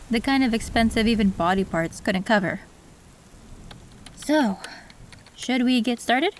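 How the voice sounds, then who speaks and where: Woman doing a chill cyberpunk tech lady explaining stuff, kinda high voice, quiet hiss behind. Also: takes it slowly, pauses often. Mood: calm. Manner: calm, matter-of-fact, explaining. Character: female cyberpunk tech who modifies androids. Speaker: voice actress in audio roleplay. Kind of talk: scripted audio roleplay.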